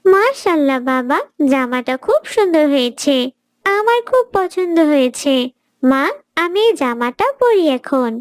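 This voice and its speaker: Lady doing a sweet polite little cartoon schoolgirl, super high voice, really lilting, dry sound. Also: big pitch swings, measured pace, dry recording. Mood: cheerful. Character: gentle, pious little schoolgirl, kind and obedient. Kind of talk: cartoon dialogue.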